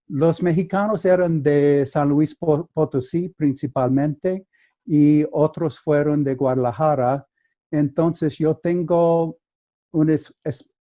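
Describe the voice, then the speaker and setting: A man whose first language is English, speaking Spanish with an American accent as a guest mediator, telling a story carefully with halting restarts over a video call. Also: on the high side, warm tone. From United States. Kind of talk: conversational storytelling.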